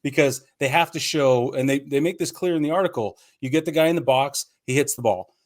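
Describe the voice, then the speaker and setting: A man's voice: medium pitch, a little fast. A man co-hosting a casual sports podcast, explaining his opinions point by point in conversational talk. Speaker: podcast co-host.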